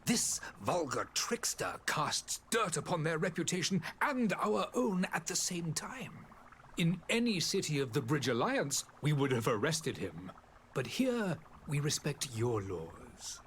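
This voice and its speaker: A man's voice: on the high side, sing-song, fairly bright tone, slight background noise. An actor playing a gracious, grave older male ambassador in a video game, with formal, florid phrasing. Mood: grave, indignant. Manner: formal, florid, hesitant pauses. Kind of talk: scripted video game dialogue.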